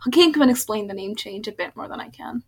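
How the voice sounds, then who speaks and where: A woman's voice: medium pitch, lively intonation, quick pace, darkish tone, breathy edge. A young adult woman from Bangladesh, speaking as an activist guest and giving casual interview answers. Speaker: guest, youth climate activist.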